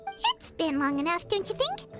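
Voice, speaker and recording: Tiny chirpy female game creature, very high squeaky voice, bouncy pitch, loud music behind. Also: normal speed, full character voice. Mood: chatty. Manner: talks about herself in third person, excitable.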